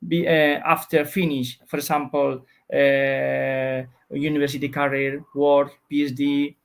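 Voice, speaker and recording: A man's voice: medium pitch, little pitch movement, a little slow, creaky, clear, resonant tone. A man with a Spanish accent explains research as an interview guest, searching for words in non-native English.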